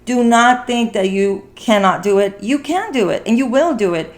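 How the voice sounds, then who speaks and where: A woman's voice: fairly high pitch, animated, moderate pace. A woman hosting a podcast, chatting casually and earnestly, with an encouraging way of repeating phrases for emphasis. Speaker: podcast host. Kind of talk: casual podcast conversation about a film.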